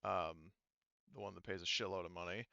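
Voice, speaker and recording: A man's voice: sits low, fairly even intonation, a little fast, vocal fry, sharp tone, dry recording, dull audio. A man acting as game master, talking casually and informally with some swearing at a tabletop game.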